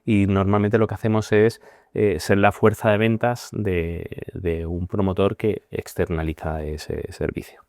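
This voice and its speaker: Deep-voiced reflective Spanish businessman. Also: flat delivery, a little mellow, no room sound, studio quality. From Spain. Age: 40s. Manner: measured, reflective, hesitant with many fillers. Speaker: guest, managing partner. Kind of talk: conversational interview.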